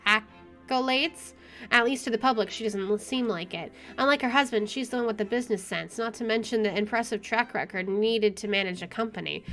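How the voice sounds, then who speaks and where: Bright high-ish woman doing a wry dramatic visual novel read, music playing behind her. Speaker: let's player reading a visual novel aloud. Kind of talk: expressive read-aloud narration of game text. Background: game music.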